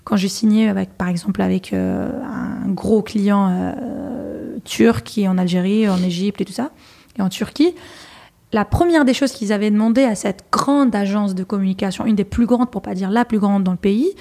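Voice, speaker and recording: A woman's voice: medium pitch, moderate pace, vocal fry. A woman in her thirties from Algeria, a journalist and presenter, chatting as a guest in an interview, laughing often and restarting her sentences. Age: 30s. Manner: lively, laughs often, many fillers and restarts. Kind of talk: casual interview talk.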